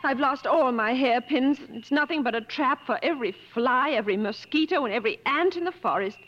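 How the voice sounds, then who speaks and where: An actress playing a proud, headstrong English wife on an expedition, haughty and clipped, in 1950s radio drama style. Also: female voice, high-pitched, fairly quick, a bit bright. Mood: indignant. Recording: muffled, noisy old radio broadcast.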